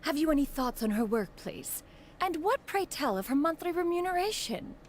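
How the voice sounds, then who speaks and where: A woman's voice: high-pitched, sing-song, normal speed, slight background noise. A woman voicing a proud, aloof, motherly immortal in a video game, with formal, archaic speech. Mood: inquisitive. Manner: formal, haughty, archaic wording.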